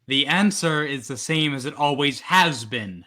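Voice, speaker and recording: Casting: male actor as unruffled, mocking leading man for vintage radio, higher-pitched, highly animated intonation. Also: a little slow. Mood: defiant. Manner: dry, mock-polite, unruffled. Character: defiant, sardonic man refusing a threatening visitor. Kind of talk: old-time radio drama acting.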